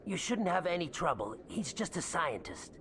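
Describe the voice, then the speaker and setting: A man's voice: on the high side, sing-song, moderate pace, fairly bright tone, a little hiss or hum. An actor voicing an anxious, scheming alien man in a video game, agitated and pleading. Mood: pleading, wheedling. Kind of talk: video game character acting.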